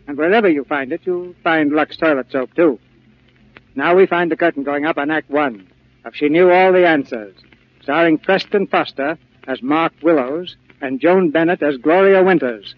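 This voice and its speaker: Formal guy hosting old 40s radio theater, highish voice, muffled old recording. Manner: polished, formal presenter delivery. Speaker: radio theater host and narrator. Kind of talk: 1940s radio show scripted narration.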